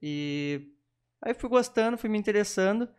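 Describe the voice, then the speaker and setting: A man's voice: sits high, lively intonation, moderate pace, a little mellow, clear, resonant tone. A man telling personal stories as a podcast guest, informal and conversational.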